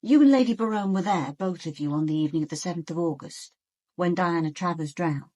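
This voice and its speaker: An actress playing a sharp-minded British police detective in a radio drama, with controlled, deliberate and pointed delivery. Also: female voice, fairly low pitch, close to an everyday voice. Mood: pointed, probing. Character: sharp-minded, ambitious female police detective questioning suspects. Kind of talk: radio drama acting with first-person narration.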